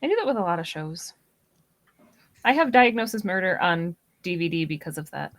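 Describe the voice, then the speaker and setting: A woman's voice: on the low side, expressive, normal speed, no echo. A woman in a casual, conversational discussion as part of a podcast panel. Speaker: podcast guest or co-host.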